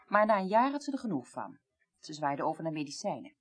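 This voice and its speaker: A weary, well-read woman of middle age, with a rather low voice. She recounts the past in careful, articulate sentences, pausing for weight as in an eighties radio play. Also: sing-song. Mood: reflective. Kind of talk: 1980s radio play acting.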